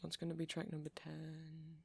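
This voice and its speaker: Nerdy, gentle vampire for ASMR roleplay, played by a man: creaky, halting, rambling, reassuring. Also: fairly high pitch, completely flat delivery, no echo. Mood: absorbed, rambling. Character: nerdy, kindly, rambling male vampire music fan. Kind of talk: ASMR roleplay, soft conversational monologue.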